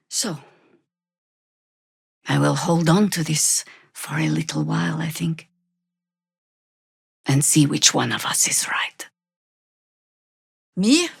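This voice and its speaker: An actress playing a seasoned, sardonic older druid adventurer in a video game, with dry, wry and deliberate formal phrasing. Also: female voice, low-pitched, creaky, fairly bright tone, slightly rough, dry recording, full character voice. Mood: wry, measured. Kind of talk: video game character acting.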